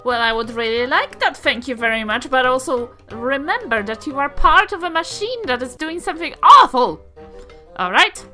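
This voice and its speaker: Woman acting as stern woman adventurer, New Zealand accent, very high bright voice, swooping pitch, prim, emphatic, lecturing, tabletop game. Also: lively intonation, moderate pace, soft background music. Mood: indignant scolding. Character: stern, scolding, politely indignant woman adventurer.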